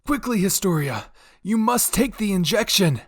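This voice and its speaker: A man's voice: high voice, lively intonation, moderate pace, no echo, clean studio sound, voice pushed up. A man voicing a pushy, fanatical royal father with a grandiose, fervent delivery in dramatic fan fiction roleplay. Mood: urgent, pressing.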